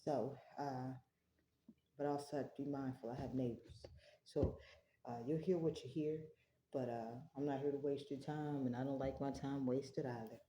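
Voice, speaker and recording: Low-voiced woman giving a tarot reading. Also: steady tone, reverberant, slight background noise. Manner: slow, prayerful, soft-spoken. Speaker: tarot reader. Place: home, early morning.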